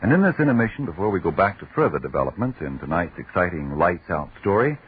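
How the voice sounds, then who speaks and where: A man's voice: sits low, sing-song, fast talker, husky. A man announcing formally and theatrically in 1940s radio style. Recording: tinny 1940s radio recording.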